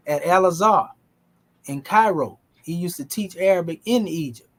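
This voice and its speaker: A man, teaching a language class in a relaxed, folksy way. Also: high-pitched, moderate pace. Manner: relaxed, folksy, dialect grammar.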